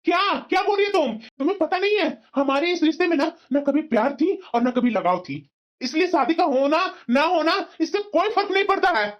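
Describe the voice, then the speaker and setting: Woman acting aggrieved cartoon husband, unnaturally high voice, exasperated scolding, dull lo-fi sound. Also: animated, brisk. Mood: firm, annoyed. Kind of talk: cartoon voice-over in family comedy drama.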